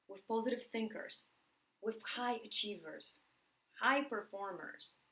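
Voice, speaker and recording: A woman from Poland with a Polish accent, giving a motivational talk to camera. She speaks emphatically, repeats words for stress and slows down on key phrases. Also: medium pitch, poor audio.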